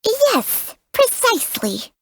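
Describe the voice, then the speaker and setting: High pitched bossy lil game snake, haughty n slow, swoopy delivery. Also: female voice, huge pitch swings, no echo, studio quality, heavily acted. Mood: pompous, pondering. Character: small, sassy talking snake companion, imperious and impatient. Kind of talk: video game character voice lines.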